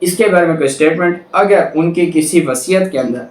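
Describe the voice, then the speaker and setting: A man's voice: a little high, a little fast. A man with a Pakistani accent giving a methodical, repetitive explanatory lecture, reading clauses aloud and explaining them step by step. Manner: methodical, repetitive step-by-step explaining, switching between Urdu and English. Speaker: law lecturer. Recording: echoey room, distant microphone.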